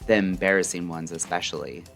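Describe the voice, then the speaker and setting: A man delivering a scripted, introspective late-night radio monologue in measured, deliberate short phrases. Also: low voice, fairly even intonation, creaky, fairly bright tone. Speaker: narrator and host of a late-night radio style audio show. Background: music.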